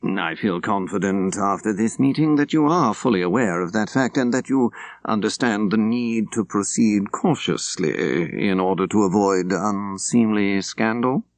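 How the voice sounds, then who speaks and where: Man acting a prim, cautious English clergyman. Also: lowish voice. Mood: stern, cautious. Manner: formal, measured, stern.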